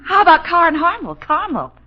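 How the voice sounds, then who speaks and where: A woman's voice: very high voice, sing-song, quick pace. A woman playing an eager, helpful young American secretary in an old radio comedy drama. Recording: dull old radio recording.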